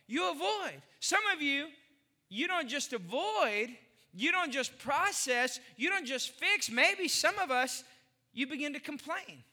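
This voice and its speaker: Bright high-voiced preacher in his forties. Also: big pitch swings, a little slow, long reverb tail. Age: 40s. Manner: emphatic, repeats phrases, asks the congregation questions. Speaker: pastor. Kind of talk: sermon.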